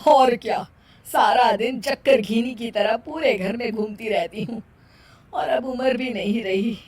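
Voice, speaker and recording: Woman acting weary, grumbling older mother-in-law, fairly high voice. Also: unhurried, darkish tone, lightly acted. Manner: complaining, sardonic, plain-spoken. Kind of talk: cartoon moral story acting.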